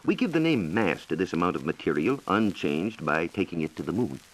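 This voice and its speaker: An American man explaining science in a measured, lecturing way, as a reporter in a scripted 1940s educational radio dialogue. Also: deep voice, big pitch swings, low-quality recording. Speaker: science reporter and guest expert.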